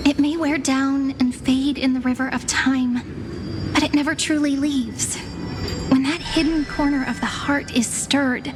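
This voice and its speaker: A gentle, devoted young heroine from a story-driven game. Her voice is high, patient and reassuring, with music clearly playing behind it. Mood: tender, wistful. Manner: tender, reassuring, unhurried. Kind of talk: video game story voice acting.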